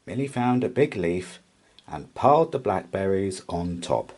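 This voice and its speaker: A man reads a children's story aloud as narrator. His voice is mellow and husky, a little on the low side. He tells it expressively, with lots of melodic movement. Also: very animated, a little slow.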